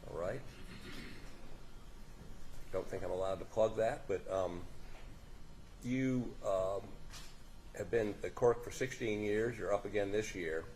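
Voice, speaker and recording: A lower-pitched man questions a witness in court, stopping and restarting his sentences in a folksy manner. Faint room noise sits under his voice, picked up by a courtroom microphone. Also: slow pace, pauses often, a little mellow, low-quality recording. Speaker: defense attorney questioning a witness. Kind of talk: courtroom examination.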